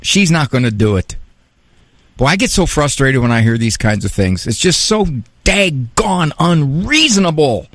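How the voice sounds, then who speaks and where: A man's voice: a little high, sing-song, normal speed, fairly bright tone, no echo. A man hosting a call-in advice radio show, speaking bluntly and emphatically with open exasperation.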